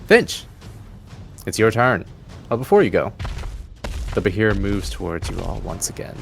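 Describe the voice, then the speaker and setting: A man's voice: on the low side, expressive, moderate pace, creaky, faint music behind. A man narrating a tabletop fantasy game as game master, with dramatic, descriptive storytelling.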